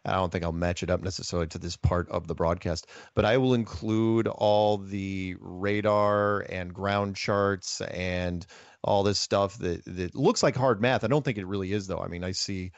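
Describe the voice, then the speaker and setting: A man's voice: deep voice, fairly even intonation, normal speed, no echo, lo-fi sound. A man hosting a conversational interview, speaking emphatically, repeating phrases for stress and turning aside to address listeners. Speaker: host.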